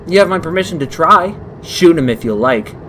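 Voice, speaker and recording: A man's voice: on the high side, expressive, normal speed, sharp tone. An exasperated young male driver acted by a man in a comic dub, with faint vehicle noise behind. He is snappy and sarcastic. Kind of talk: amateur comic dub acting.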